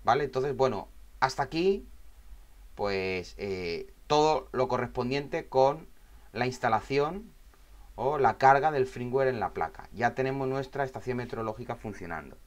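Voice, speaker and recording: Male tutorial instructor, medium pitch, informal and explanatory, frequent check-ins with the learner. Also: lively intonation, unhurried. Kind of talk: informal spoken screen tutorial.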